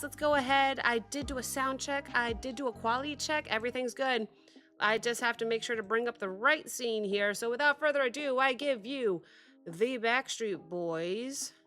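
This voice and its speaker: Excitable woman host, bright voice, recovering cold, soft music underneath. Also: fairly high pitch. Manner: chatty and excitable, rambling with fillers and restarts. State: sick with a cough, recovering voice. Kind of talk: casual chat to camera.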